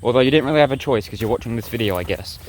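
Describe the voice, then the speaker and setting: A man's voice: mid-range voice, very fast, poor audio. Young male vlogger, casual and rambling, outdoor handheld sound with insect noise behind. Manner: rambling, relaxed, talks straight to viewers. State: sick, blocked nose and sore throat. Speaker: bushcraft vlogger. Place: outdoors in the bush.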